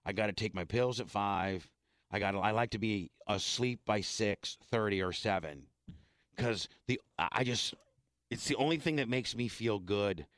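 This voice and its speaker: Hoarse man rambling on air. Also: lowish voice, normal speed, fairly bright tone, dry recording, clean studio sound. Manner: rambling, stop-start, self-deprecating. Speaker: radio show host. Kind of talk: casual on-air banter. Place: radio studio.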